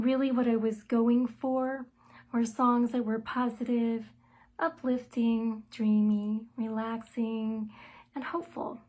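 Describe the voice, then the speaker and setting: A middle-aged woman musician with a light voice talks about her music in a thoughtful, measured way, pausing often on "um" and "uh". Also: a little high, darkish tone. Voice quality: light, soprano-range voice. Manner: thoughtful and measured, with frequent "um" and "uh" pauses. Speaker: musician and songwriter interviewed about her album. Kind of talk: self-told interview answers, conversational.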